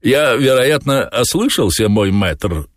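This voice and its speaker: A man's voice: mid-range voice, lively intonation, takes it slowly, no room sound, clean studio sound, pitched above the performer's own voice. A man voicing a theatrical talking tomcat in an audiobook, a wheedling chess cheat full of fussy, falsely anxious indignation. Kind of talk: audiobook character voice.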